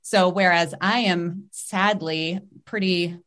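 A chatty, upbeat woman in middle age hosts a podcast conversation. Her voice sits a bit low for a woman, and she fills her sentences with easy "likes" and "ums". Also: steady tone, slow, fairly bright tone. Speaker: podcast host. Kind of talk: casual podcast interview.

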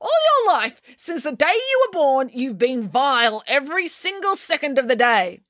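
A woman's voice: normal speed, no room sound, studio quality. A woman voicing a whiny, overwrought young boy in a children's story, wailing and indignant. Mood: panicked, indignant. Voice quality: shrill yelling.

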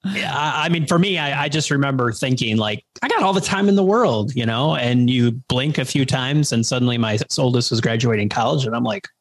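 A man's voice: mid-range voice, expressive, fairly quick. A man in his forties co-hosting a podcast, reflecting casually with stories. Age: 40s. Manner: reflective, storytelling.

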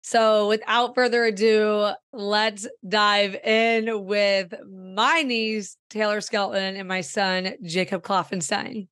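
A woman in her thirties hosting a podcast in casual chat, hoarse and raspy as she loses her voice, effusive and upbeat. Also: mid-range voice, fairly even intonation, takes it slowly, few pauses, a bit bright, dry recording, studio quality. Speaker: podcast host and growth coach.

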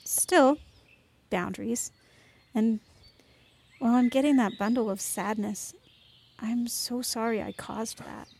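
A woman's voice: fairly high pitch, slow pace, vocal fry, long pauses, a little mellow, husky, exaggerated voice. A woman playing an earnest, fierce but contrite young woman in a naturalistic podcast drama, speaking sincerely and carefully. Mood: remorseful. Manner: sincere, careful, emotionally open. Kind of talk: naturalistic fiction podcast acting.

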